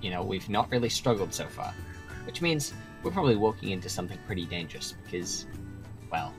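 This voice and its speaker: A man's voice: low voice, sing-song, a little fast, over music. A man playing a self-righteous centuries-old quest leader in an improvised game roleplay, explaining things insistently. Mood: measured, persuasive.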